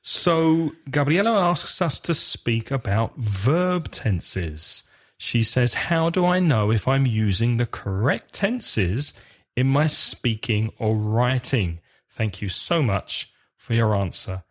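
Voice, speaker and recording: A man hosting an English lesson podcast, explaining carefully in a slow, clear teacher's manner. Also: mid-range voice, lively intonation. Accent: British.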